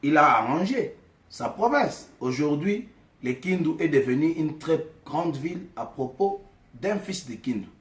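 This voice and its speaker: A man with a Congolese French accent delivers a fiery, accusatory address straight to camera. His voice sits a little high for a man, and he calls people out by name. The room around him echoes. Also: animated, measured pace. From Kasaï, DR Congo. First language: Tshiluba. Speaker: vlogger addressing his community. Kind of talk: impassioned political address to camera.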